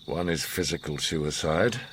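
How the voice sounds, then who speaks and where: An actor playing a grave, commanding, enigmatic older war survivor in a radio drama, speaking in a measured, solemn, philosophical way. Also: male voice, rumbling low, expressive, fairly bright tone, slight background noise, exaggerated voice. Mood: stern. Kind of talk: radio drama monologue and dialogue.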